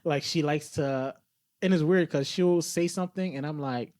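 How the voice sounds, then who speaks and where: A man's voice: a little high, normal speed, darkish tone, dry recording, studio quality. A man co-hosting a podcast, talking in a reflective, conversational way, earnestly explaining and thinking aloud. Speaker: podcast co-host.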